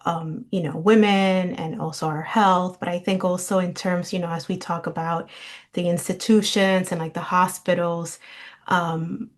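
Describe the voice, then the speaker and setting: Lowish-voiced woman on a zoom panel, measured, lots of "you know". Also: steady tone. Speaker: panel participant. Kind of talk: online panel discussion.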